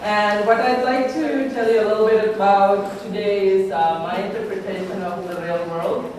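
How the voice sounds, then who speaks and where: A woman's voice: medium pitch, steady tone, normal speed, darkish tone, slight background noise. A woman from Sri Lanka, a robotics researcher giving an earnest conference talk with many ums and uhs. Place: lecture hall. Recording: echoey hall from far off.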